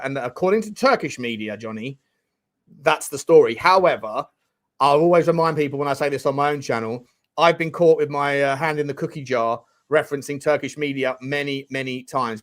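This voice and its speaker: A man co-hosting a casual football chat show, blunt and banter-heavy, swearing casually. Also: on the high side. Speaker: co-host.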